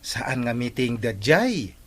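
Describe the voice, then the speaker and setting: A man puts on a cheeky village watchman for an Ilocano comedy drama. His pitch swings extremely widely around a middling register. He tattles and teases in an exaggerated way, with an Ilocano accent. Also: sing-song, slow, slightly rough, exaggerated voice.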